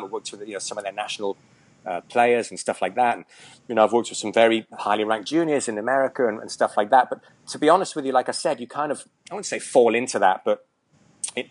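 A Welsh man, around his forties, chatting casually as an interview guest, joking and rambling with lots of fillers and restarts. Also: mid-range voice. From Wales. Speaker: tennis coach, guest.

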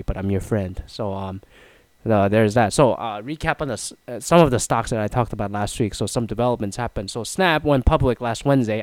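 A man's voice: medium pitch, darkish tone, clean tone, no echo. A man hosting a casual solo talk show, rambling and self-mocking, with a nasal, stuffy voice and a throat that is half gone. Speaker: podcast host.

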